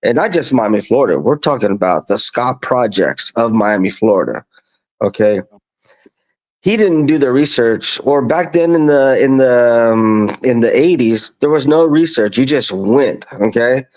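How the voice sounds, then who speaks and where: A man in his fifties from Kansas, born in Colombia, telling his story casually and deliberately as a podcast guest. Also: mid-range voice, animated, poor audio. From born in Colombia, raised in Kansas, USA. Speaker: podcast guest, lawn business owner.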